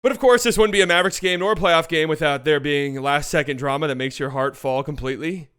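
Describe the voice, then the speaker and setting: A man hosting a sports show with casual, animated, sweary fan commentary on basketball highlights. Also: fast, clear, resonant tone.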